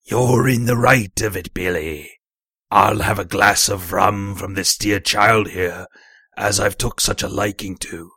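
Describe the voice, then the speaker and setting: A man voicing a sly old seaman in an audiobook, slow and drawling, with a quiet, menacing false friendliness. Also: lowish voice, husky, no room sound, pitched below the performer's own voice, exaggerated voice. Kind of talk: audiobook character voice.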